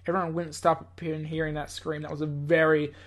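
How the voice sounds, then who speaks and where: A man's voice: a little high, flat delivery, brisk, fairly bright tone. A man reading a visual novel aloud in a theatrical, slightly stumbling way, as host of a gaming video. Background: game music.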